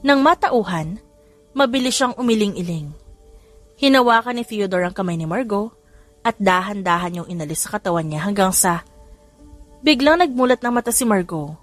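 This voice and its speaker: A woman's voice: mid-range voice, lively intonation, normal speed, long pauses. A woman with a Filipino accent reading a scripted story as an audiobook narrator, acting out the dialogue. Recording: music under the voice. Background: background music.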